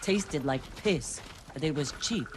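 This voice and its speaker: A woman's voice: sits low, animated, measured pace, noisy background. An actress playing a tough, determined young woman in a video game cutscene, blunt, dry and fiercely protective. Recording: loud music behind voice.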